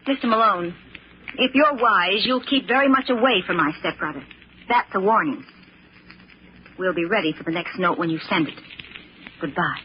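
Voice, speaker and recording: A woman's voice: medium pitch, moderate pace, long pauses, close to an everyday voice. An actress playing an anxious, protective young woman in an old radio drama, earnest, restrained and firm. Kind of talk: old radio drama acting and first-person narration. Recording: muffled, noisy old radio recording.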